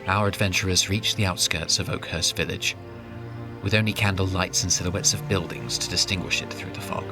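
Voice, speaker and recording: A man giving scripted narration as the storyteller of a fantasy audio drama. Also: low-pitched.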